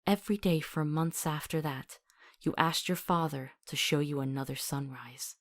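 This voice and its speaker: A woman's voice: sits low, moderate pace. A woman playing a compassionate, earnest and stubborn companion to a monster hunter in a visual novel. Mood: gentle, soothing.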